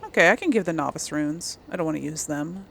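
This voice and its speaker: A woman's voice: low voice, expressive, quick pace, vocal fry, fairly bright tone, a little hiss or hum. A woman giving casual, chatty video game commentary, thinking aloud as she plays.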